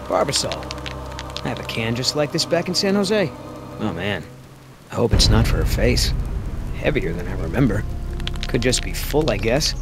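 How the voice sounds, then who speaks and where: A man's voice: mid-range voice, creaky. A man playing an easygoing, wisecracking young mercenary with a wry manner in a video game. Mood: curious, musing. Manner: wry, muttering to himself. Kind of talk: naturalistic video game acting.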